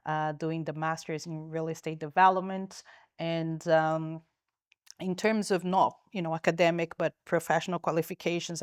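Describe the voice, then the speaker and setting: Woman, low voice, smooth and clear, dry close sound. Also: no room sound. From Brazil. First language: Portuguese. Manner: thoughtful, frequent restarts and fillers. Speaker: company founder and guest. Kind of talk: interview answers.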